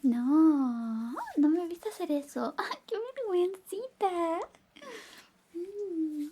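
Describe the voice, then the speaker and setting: A woman with a high, mellow voice plays a doting, playfully jealous best friend in an ASMR roleplay. She speaks slowly and softly, slipping in and out of whispers. Her manner is coy and teasing, with little murmured interjections. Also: a little hiss or hum. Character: affectionate, teasing, jealous young female best friend in love with the listener. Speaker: ASMR performer. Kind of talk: soft-spoken ASMR roleplay with personal attention, partly whispered.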